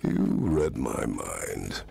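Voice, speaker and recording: Male co-host, deep and creaky, animated dramatic trailer narration over music. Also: drawn-out words. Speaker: co-presenter of a games showcase. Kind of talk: scripted showcase narration.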